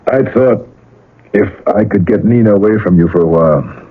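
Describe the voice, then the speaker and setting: Guy playing a worried pleading dad in an old radio play, really low husky voice, halting. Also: close to an everyday voice. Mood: confessing. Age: middle-aged. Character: anxious, pleading, possessive father of a grown daughter. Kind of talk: 1970s radio drama acting. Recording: muffled vintage radio broadcast.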